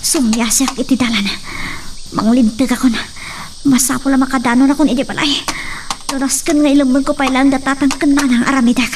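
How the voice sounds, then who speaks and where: A very high-voiced young woman in a radio-style drama played by an actress, anxious and determined, breaking into breathless exclamations. The recording is noisy, with clicks behind her. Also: steady tone, fairly quick, close to an everyday voice.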